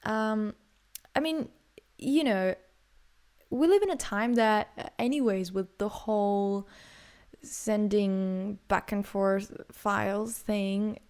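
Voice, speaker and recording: A woman's voice: medium pitch, slow pace, darkish tone, smooth. Greek-born woman singer, hesitant speech. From Greece. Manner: hesitant, many fillers and restarts. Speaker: singer and songwriter. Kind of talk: interview answers.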